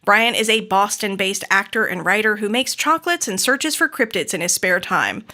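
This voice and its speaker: A woman's voice: medium pitch, expressive, bright, forceful tone. An American woman hosting a podcast, enthusiastic and effusive, moving between scripted introductions and casual interview chat. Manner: enthusiastic, effusive, quick to laugh. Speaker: podcast host.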